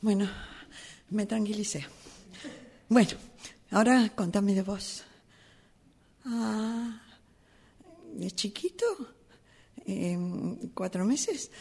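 A woman's voice: mid-range voice, very slow, gravelly, long pauses, a little mellow, husky. A woman with a Rioplatense Spanish accent improvising a one-sided dialogue as a flustered, apologetic character, stammering and repeating herself nervously. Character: flustered, apologetic woman meeting an old acquaintance. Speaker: radio drama workshop participant.

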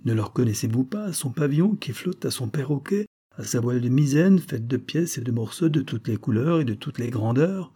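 A man voicing an excited onlooker in a harbor crowd in a read-aloud story, calling out abruptly. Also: mid-range voice, fairly quick, few pauses, slightly rough, voice pushed up. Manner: abrupt, exclaiming.

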